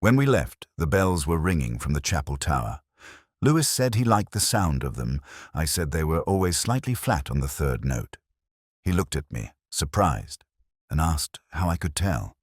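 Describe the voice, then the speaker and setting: Very deep male detective-story narrator. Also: sing-song, husky, no room sound, studio quality. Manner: measured, literary, wry. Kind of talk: scripted first-person detective story narration.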